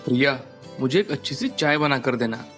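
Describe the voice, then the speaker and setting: A man's voice: medium pitch, animated, normal speed, a bit bright, close to an everyday voice. Earnest young cartoon son played by a man. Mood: smitten. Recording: music bed behind the voice.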